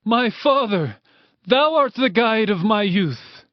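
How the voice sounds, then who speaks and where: A man's voice: high-pitched, lively intonation, slow pace, dry recording, pitched above the performer's own voice. A man voicing a pleading, wayward child calling to a father in a dramatized scripture reading. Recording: dull band-limited audio.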